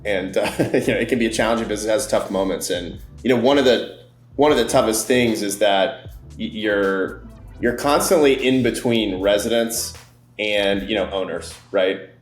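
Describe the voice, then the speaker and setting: A man's voice: fairly low pitch, moderate pace, echoey room, soft background music. A man answering as a company guest in an interview, friendly and fluent with frequent fillers.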